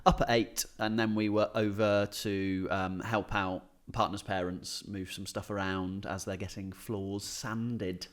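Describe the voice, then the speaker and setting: A man's voice: on the low side, level tone, measured pace, hardly any pauses. A British man in casual comedic chat as a podcast co-host, telling anecdotes, often chuckling and swearing casually as he talks.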